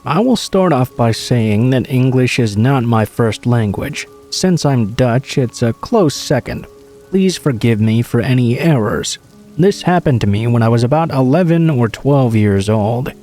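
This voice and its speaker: A man reading true scary stories aloud as a scripted narration, in a steady, low-key delivery. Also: unhurried, faint music behind. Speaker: horror story narrator.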